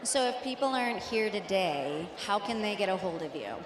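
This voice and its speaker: A woman's voice: medium pitch, animated, normal speed. Upbeat young woman interviewer. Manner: upbeat, brief questions. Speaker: expo interviewer. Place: indoor expo hall before a backdrop. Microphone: handheld mic. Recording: echoey hall with crowd noise.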